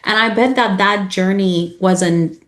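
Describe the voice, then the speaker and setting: A woman's voice: mid-range voice, steady tone, slow pace. Enthusiastic woman host. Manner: enthusiastic, sums up the guest's answers. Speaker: podcast host, interviewer. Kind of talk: interview questions.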